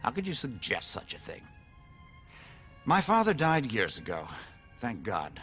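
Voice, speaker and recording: Pompous, swooping, cynical game politician. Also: male voice, mid-range voice, wildly swooping pitch, a little slow, a bit bright, husky, soft background music, heavily acted. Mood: smug, scheming. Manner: pompous, effusive, glib. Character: smug, cynical, needy male politician, theatrically candid and pompous. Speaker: politician character. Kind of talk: video game cutscene acting.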